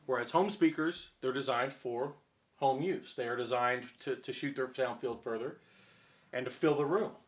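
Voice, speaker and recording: A man's voice: medium pitch, normal speed. A man explaining technical matters in conversation as a co-host, emphatic and repeating his key points. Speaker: audio reviewer and speaker designer, co-host.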